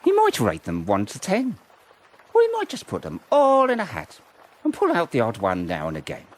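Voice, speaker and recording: A man's voice: medium pitch, wildly swooping pitch, moderate pace. A man acting a cynical, fatalistic First World War private in a radio drama, with a blunt, colloquial manner. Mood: bitter, sardonic. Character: cynical, fatalistic WW1 private soldier in the trenches.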